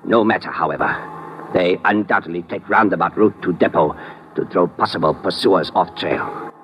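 An actor playing a fanatical, polite Japanese villain in a 1950s radio drama, with a Japanese accent and formal, clipped grammar that drops articles. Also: male voice, low-pitched, normal speed, dull audio, natural voice. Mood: calm menace. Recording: noisy old 1950s radio recording.

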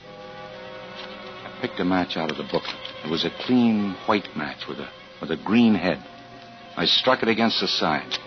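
An actor playing a suspicious, uneasy husband and father who narrates in a tense, halting, deliberate way in 1940s radio style. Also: sits low, expressive. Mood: suspicious, controlled. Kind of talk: 1940s radio acting with first-person narration. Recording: dull vintage 1940s radio with music.

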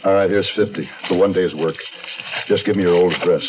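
Low-voiced, terse fugitive narrator played by a man. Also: steady tone, a little fast, noisy background, close to an everyday voice. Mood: guarded, insistent. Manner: terse, guarded. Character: terse, wary male fugitive narrating his own story. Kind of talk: first-person suspense narration and dialogue, 1970s American radio drama. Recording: dull 1970s radio recording.

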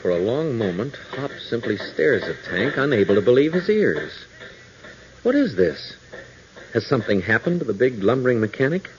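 A man's voice: medium pitch, sing-song, moderate pace, slight background noise. A man announcing and narrating a 1940s radio serial, with emphatic, dramatic delivery aimed at young listeners. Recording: muffled old radio broadcast.

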